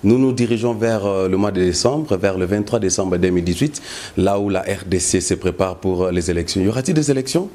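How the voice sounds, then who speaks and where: Low-pitched Congolese man hosting. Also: brisk, dark tone. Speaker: host. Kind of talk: political interview.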